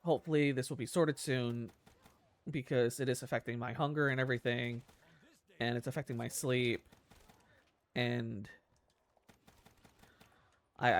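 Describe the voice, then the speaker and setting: A man's voice: mid-range voice, creaky. A man with a nasal voice, rambling in casual commentary over gameplay as a gaming YouTuber, swearing often and laughing at himself.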